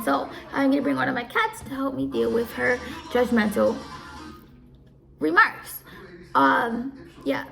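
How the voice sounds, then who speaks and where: Young woman with a gravelly voice. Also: sits high. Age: early 20s. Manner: rambling, easily distracted, repeats herself. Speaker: audio live streamer. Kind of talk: casual advice talk.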